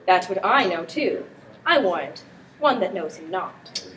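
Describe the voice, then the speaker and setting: A teenage girl playing a teasing, excitable prince in an amateur Shakespeare performance. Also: fairly low pitch, unhurried. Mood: playful teasing. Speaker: student performer. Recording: distant mic with background noise.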